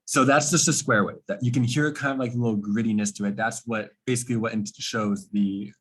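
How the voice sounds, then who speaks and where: Slightly husky man explaining something technical. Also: on the low side, steady tone, a little fast, a bit bright. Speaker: student project presenter.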